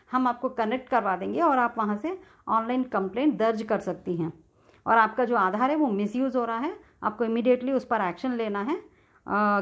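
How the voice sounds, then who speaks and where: High-voiced Indian woman, slightly muffled recording. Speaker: video creator sharing a personal experience. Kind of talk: casual first-person storytelling with re-enacted dialogue. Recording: dull, lo-fi sound.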